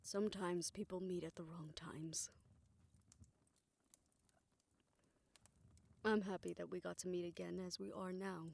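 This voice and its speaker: A woman playing a tender, self-assured older woman in a romantic audio roleplay, speaking in a reflective, gently formal way. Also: on the low side, fairly even intonation, a little fast, vocal fry. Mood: tender, wistful. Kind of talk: romantic audio roleplay, intimate scripted monologue.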